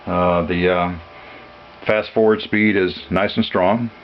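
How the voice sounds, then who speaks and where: A man giving an unscripted technical demonstration as a seller, matter-of-fact and halting, with frequent uhs. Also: low voice, fairly even intonation. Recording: dull lo-fi audio, music behind. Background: music played from demonstration equipment.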